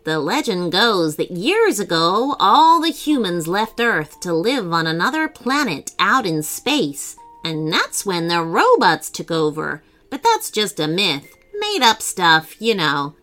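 A woman voicing a nervous, excitable male robot inventor in a children's story, gasping and pleading dramatically. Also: on the low side, slow, few pauses, heavily acted. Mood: awed, explaining.

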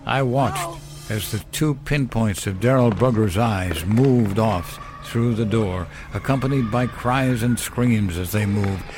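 A man giving a scripted horror narration in a measured, solemn, deliberate way. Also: on the low side, background noise. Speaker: narrator of a horror fiction podcast. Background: music bed.

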